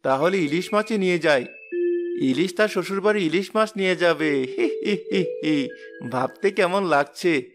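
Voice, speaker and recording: A man voicing a stubborn, plucky young son-in-law in a cartoon, talking in an animated, exaggerated way. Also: sits high, moderate pace. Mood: eager. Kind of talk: cartoon acting. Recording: music bed under the voice.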